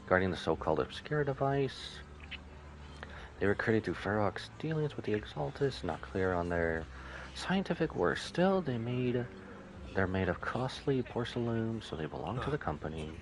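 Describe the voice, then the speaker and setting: A man's voice: fairly low pitch, sing-song, normal speed, slight background noise. A man voicing a shrewd, polite corporate trader from a game, formal and businesslike.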